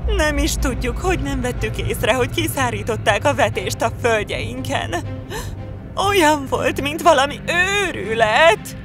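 High, dramatic cartoon creature. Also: female voice, animated. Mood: alarmed. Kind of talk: dubbed children's fairy tale cartoon. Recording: music under the voice.

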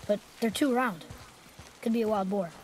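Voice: mid-range voice, moderate pace, slight background noise, close to an everyday voice. A young performer playing an earnest boy who is eager to please his stern father in a video game. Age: child. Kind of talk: video game cutscene acting. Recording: game audio with faint music.

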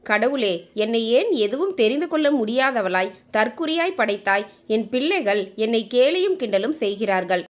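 A woman's voice: fairly high pitch, big pitch swings, moderate pace. A woman voicing a hurt, loving middle-aged mother with little schooling, plaintive and apologetic, in a narrated story. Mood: anguished prayer.

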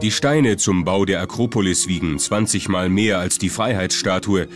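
Male narrator for documentary, lower register, scripted read over a prominent music bed. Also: normal speed.

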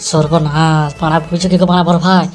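A man's voice: on the high side, little pitch movement, fast talker, faint music behind, natural voice. A man playing a boastful, scheming, eager henchman in an amateur comedy cartoon, with a cocky rattling delivery.

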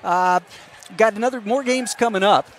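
High-voiced older man broadcaster, chatty. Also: normal speed, slight background noise. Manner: chatty, folksy, teasing questions. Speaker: play-by-play broadcaster. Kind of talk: postgame interview. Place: courtside seats in an indoor gym. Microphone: headset.

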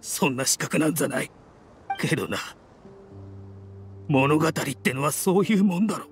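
A man voicing a grim, resolute mercenary in a video game, speaking in a rough, blunt and earnest dramatic manner. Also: on the high side, animated, normal speed. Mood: bitter, earnest. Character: grim, resolute mercenary man bent on avenging his leader. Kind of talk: dramatic anime-style game acting. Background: soft music.

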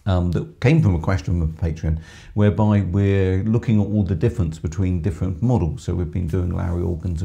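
Hoarse man hosting a live shop stream. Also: deep voice, warm tone. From England. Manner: chatty, many ums, reads viewer comments aloud. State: hoarse from screaming. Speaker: music shop presenter. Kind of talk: live stream chat reading comments. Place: small music shop studio room with keyboards. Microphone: headset with microphone.

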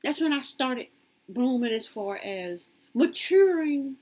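A woman in her late fifties rambling through her life story in a casual, lively way. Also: takes it slowly, poor audio. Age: 50s. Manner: lively, swoops high when quoting others.

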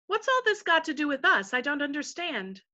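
A woman's voice: high-pitched, very animated, a little fast, sharp tone, pitched above the performer's own voice, heavily acted. A woman voicing a brave, plain-speaking young girl with an earnest, questioning manner in a storybook. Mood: puzzled.

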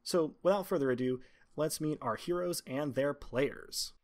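Articulate man introducing a tabletop game. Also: on the high side. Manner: articulate, measured, prepared delivery. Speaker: game master and podcast host.

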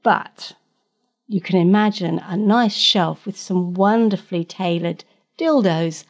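Middle-aged woman, gravelly, chatty expert guest on a podcast. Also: fairly low pitch, slow pace. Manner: chatty, frequent restarts, laughs easily. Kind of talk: casual interview answers.

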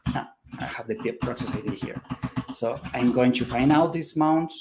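A man's voice: mid-range voice, normal speed, noisy background. Hesitant man giving a technical talk. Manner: hesitant, many fillers and restarts. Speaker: conference presenter. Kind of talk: technical talk with live demo.

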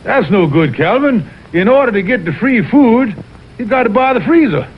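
A man's voice: extremely high pitch, big pitch swings, normal speed, slight background noise. A man voicing a pompous, scheming Southern colonel, a folksy cartoon animal con artist, in a vintage cartoon. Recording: dull old TV cartoon soundtrack, music behind.

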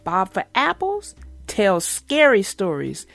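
A woman voicing a cheerful, excitable young girl hippo, an eager and encouraging big sister in a storybook. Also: medium pitch, takes it slowly, creaky, no echo, faint music behind, heavily acted. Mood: excited.

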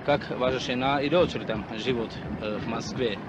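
A middle-aged man asking formal, halting questions as a television interviewer. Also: muffled recording, a crowd in the background. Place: small train compartment. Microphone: shared handheld microphone. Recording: noisy 1960s archival film sound, train rumble.

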